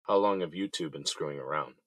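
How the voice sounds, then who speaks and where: Guy acting bitter guarded inmate for audio drama, really deep rough voice, short clipped answers. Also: fast talker, a bit bright, dry recording, studio quality. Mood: bitter, cold anger. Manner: terse, guarded. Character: guarded, bitter man jailed and feeling betrayed.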